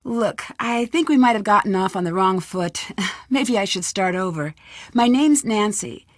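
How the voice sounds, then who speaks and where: A woman playing an earnest, polite, persistent young detective in a video game, speaking clearly and in a conciliatory way. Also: mid-range voice, expressive, measured pace, no echo, studio quality, close to an everyday voice. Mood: friendly, conciliatory. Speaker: game protagonist.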